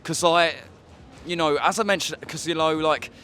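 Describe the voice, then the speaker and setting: A man's voice: on the high side, fairly even intonation, moderate pace, pushed and bright, faint music behind. A man in his twenties giving earnest, casual opinions as an interviewee, often saying "like". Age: 20s. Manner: earnest, peppered with filler "like". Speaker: street interviewee. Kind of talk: casual opinion talk to camera.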